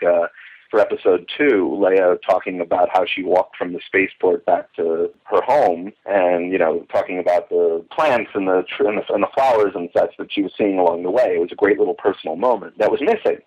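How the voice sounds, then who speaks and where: Deep voiced middle-aged guy rambling enthusiastically thru detailed fan stories, muffled call-quality sound. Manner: enthusiastic, detailed, digressive storytelling. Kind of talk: conversational interview, anecdotal reminiscing. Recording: dull lo-fi phone or call audio.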